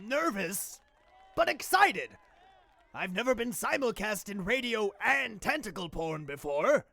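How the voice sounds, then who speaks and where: A vain cartoon supervillain, extremely high and sharp. The delivery is boastful and over-eager, swooping wildly around at an unhurried pace in a comedy audio drama. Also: male voice, very animated, vocal fry, dry recording, exaggerated voice. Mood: excited. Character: excitable, vain, self-styled male cartoon supervillain.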